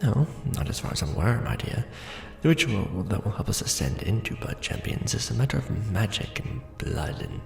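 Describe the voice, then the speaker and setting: A deeply gravelly, courtly vampire lord acted out by a man murmurs in a theatrical ASMR roleplay. His low delivery is formal, deliberate and breathy, with a brooding, possessive air. The voice sits in a roomy echo with music playing behind it. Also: sing-song, full character voice. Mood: solemn, assured. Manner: formal, hushed, deliberate, theatrical aristocratic address.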